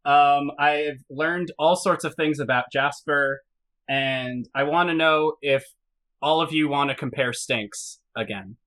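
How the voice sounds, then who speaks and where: Bright, low-pitched teasing woman podcaster. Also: measured pace. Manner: teasing, giggly, offbeat. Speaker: podcast co-host. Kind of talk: casual comedic chat.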